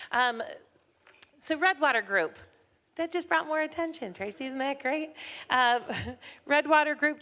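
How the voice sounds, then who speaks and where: A woman's voice: medium pitch, fairly bright tone. A woman hosting a chamber of commerce morning event, chatty and upbeat, with frequent fillers, speaking into a mic in an event hall. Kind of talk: emcee announcements at a morning business event. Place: event hall with a live audience.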